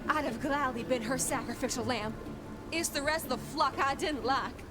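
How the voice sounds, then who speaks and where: Woman playing a high-voiced, devoted Southern belle, music behind. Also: normal speed, lightly acted. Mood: defensive, wistful. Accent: American South. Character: devoted Southern belle socialite, obsessed with a vampire. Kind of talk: dramatic video game voice acting. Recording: music loud under the voice.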